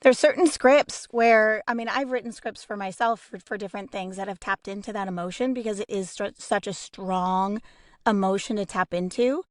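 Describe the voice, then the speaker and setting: A woman's voice: mid-range voice, moderate pace, dry recording, studio quality. A woman explaining her approach earnestly in a casual, reflective panel discussion. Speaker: voice actor on a podcast panel.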